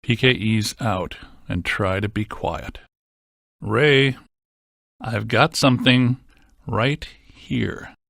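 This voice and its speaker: A man playing a brainy, deadpan scientist ghost hunter in a video game, with precise technical wording. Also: fairly low pitch, slow pace, gravelly, pauses often, dry recording, studio quality, heavily acted. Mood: deadpan, analytical. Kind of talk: scripted video game voice acting.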